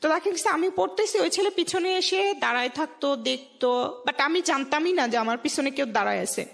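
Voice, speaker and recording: High-voiced Bangladeshi woman in long reverb. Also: expressive, fairly quick, creaky. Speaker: radio show guest. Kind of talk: conversational personal storytelling.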